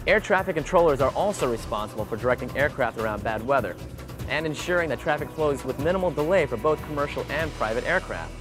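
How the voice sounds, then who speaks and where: A man presents an educational segment as its host and interviewer. His pitch sits in the middle of the male range, and clear music plays behind him. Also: lively intonation, fast talker. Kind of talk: scripted narration and casual interviewing.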